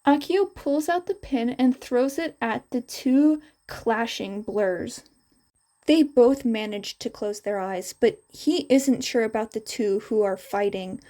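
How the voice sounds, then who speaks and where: A woman reads fiction aloud as a narrator. Her voice sits a little high, with a mellow, soft-edged tone. She takes her time, moving through the story at a very slow pace. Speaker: podfic narrator.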